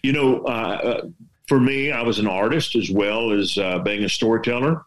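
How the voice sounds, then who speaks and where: A man's voice: fairly low pitch, moderate pace, low-quality recording. A man from West Texas with a Texas accent, giving folksy, rambling storytelling advice full of rhetorical questions. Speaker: veteran storyteller and artist giving writing advice. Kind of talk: casual advice chat.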